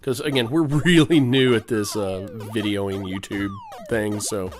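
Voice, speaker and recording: A man with a cold giving rambling, casual commentary while playing an old video game, often clearing his throat and using fillers. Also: lowish voice, steady tone, measured pace. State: has a cold. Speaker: let's play host. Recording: game music behind the voice.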